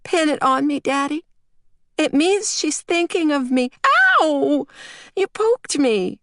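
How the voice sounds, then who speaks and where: Pouty little preschool girl for an audiobook, way up high, whiny and bossy. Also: animated, takes it slowly, no room sound, studio quality, exaggerated voice. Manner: childish, pouty, insistent. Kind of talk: audiobook character voice.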